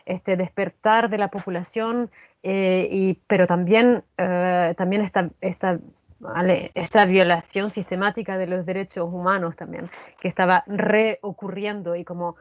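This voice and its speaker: A woman with a Chilean accent answering in an interview, reflective and earnest, often halting and repeating phrases. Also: on the low side, steady tone, no room sound. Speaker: actress, interview guest. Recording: dull, lo-fi sound.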